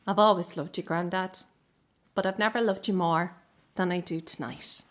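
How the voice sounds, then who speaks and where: A woman's voice: fairly low pitch, fairly even intonation, normal speed, natural voice. An actress playing a proud, direct young woman, a granddaughter with a mischievous streak, in a radio drama. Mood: tender. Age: young adult. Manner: earnest, direct. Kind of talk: naturalistic radio drama acting.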